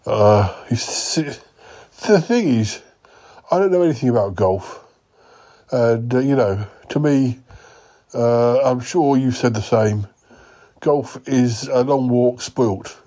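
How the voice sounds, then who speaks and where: A man voicing a clueless male yeti uncle who talks slowly and hesitantly. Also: warm tone. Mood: bemused. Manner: slow, hesitant, wandering speech with a higher voice.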